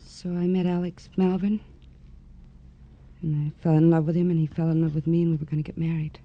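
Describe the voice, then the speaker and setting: A woman's voice: low-pitched, little pitch movement, brisk, dull audio. A woman playing a hard-edged, despairing young woman in a 1950s radio crime drama, dramatic and world-weary. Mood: bitter, despairing.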